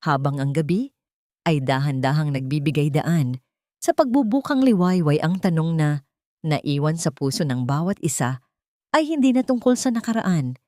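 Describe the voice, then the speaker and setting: A woman storyteller reading a scripted dramatic tale with emotive, deliberate phrasing. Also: lowish voice, darkish tone, no room sound, clean studio sound. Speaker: storyteller, narrator of audio stories.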